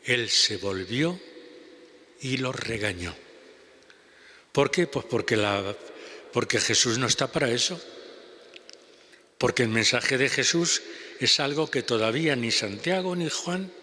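An older man with a Spanish accent preaching a homily at a lectern, slow and measured with pauses. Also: medium pitch, lively intonation, bright, forceful tone. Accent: Spanish (Spain). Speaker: priest. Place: large church basilica. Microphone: lectern gooseneck mic. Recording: long church reverb.

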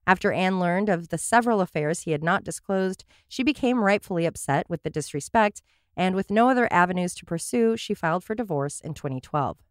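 Woman reading a true crime story on a podcast, kinda sarcastic, lowish voice, super dry mic. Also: animated, fairly quick, no room sound. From Portland area, USA. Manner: wry, sarcastic asides. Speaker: podcast host telling a true crime case. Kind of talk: scripted true crime narration with asides.

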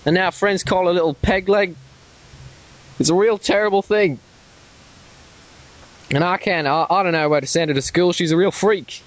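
A man's voice: high voice, moderate pace, long pauses. Stammering Australian man on the phone. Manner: rambling, stammering, swearing when riled up. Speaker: prank caller. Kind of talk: prank phone call. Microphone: telephone.

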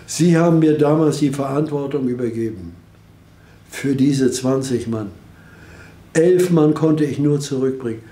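A man's voice: mid-range voice, a little slow, dark tone, reverberant, pitched below the performer's own voice, close to an everyday voice. An older man voicing a haunted returning soldier who pleads and accuses. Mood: pleading, accusing. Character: haunted young male returning soldier, sleepless.

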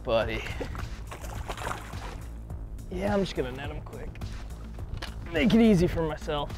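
A young man mutters short remarks while landing fish from a small boat on a lake. His voice sits a bit high for a man. Clear background music plays under him. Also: very animated, measured pace. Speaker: fishing show co-host. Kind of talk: casual on-the-water commentary.

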